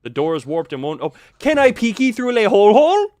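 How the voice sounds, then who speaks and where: Animated high-voiced man streaming a game. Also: fairly quick, dry recording. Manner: rambling, playful, exclaiming and repeating words. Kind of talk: casual live gameplay commentary, chatting with viewers.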